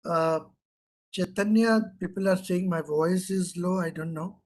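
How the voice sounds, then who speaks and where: Indian english prof on a video call, kinda husky, highish voice, keeps asking the class questions. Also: male voice, measured pace. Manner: questioning, repeats key terms for emphasis. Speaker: professor lecturing online. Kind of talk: interactive online lecture.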